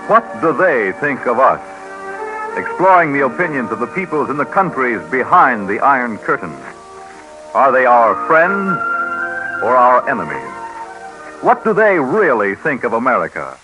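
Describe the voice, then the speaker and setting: A man's voice: medium pitch, sing-song, normal speed, rough recording. A man announcing a 1950s radio series with dramatic, rhetorical delivery. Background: music.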